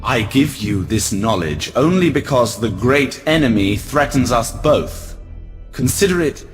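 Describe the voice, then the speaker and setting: An actor playing a proud, aloof alien elf prince in a video game, speaking in a measured, formal and haughty way. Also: male voice, medium pitch, lively intonation, lightly acted. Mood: grave warning. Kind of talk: video game cutscene acting. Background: music.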